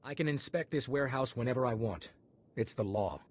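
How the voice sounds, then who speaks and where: An actor playing an officious, self-important customs agent in a video game, pompous and condescending. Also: male voice, medium pitch, fairly quick, slightly rough, natural voice. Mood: haughty.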